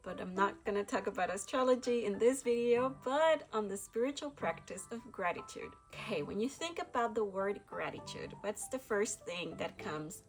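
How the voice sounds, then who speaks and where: A woman's voice: mid-range voice, lively intonation, moderate pace, hardly any pauses, faint music behind, poor audio. A woman from Guatemala, hosting a casual spiritual talk to camera, reflective, with frequent laughs and restarts. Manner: reflective, laughs often, many fillers and restarts. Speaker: spiritual channel host.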